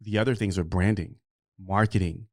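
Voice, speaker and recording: Low-voiced middle-aged man, earnest and rambling. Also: steady tone, normal speed, no echo, clean studio sound. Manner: earnest, rambling with frequent fillers. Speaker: podcast consultant and guest. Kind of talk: conversational interview answers.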